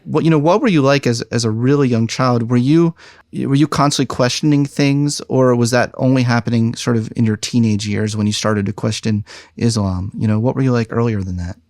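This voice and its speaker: A man's voice: medium pitch, brisk, darkish tone, dry recording, clean studio sound. A man hosting a conversational podcast interview, informal and rambling as he rephrases his questions. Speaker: podcast host; psychologist.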